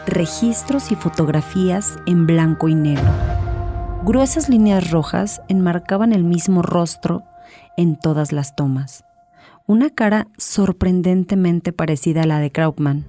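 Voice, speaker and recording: A woman narrator reading scripted, dramatic fiction for an audiobook. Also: fairly low pitch. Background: background music.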